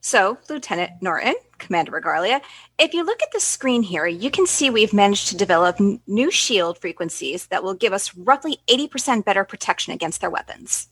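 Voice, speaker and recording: A woman playing a capable, feisty space-station commander in a comedy sci-fi radio play, brisk, confident and quick to bristle. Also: mid-range voice, big pitch swings, fairly bright tone, slightly rough, no echo.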